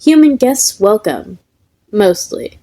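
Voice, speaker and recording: A woman's voice: mid-range voice, sing-song, slow pace, dark tone. A woman voicing a formal invitation from the afterlife, announcing ceremoniously in a comic story. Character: formal invitation from beyond the grave.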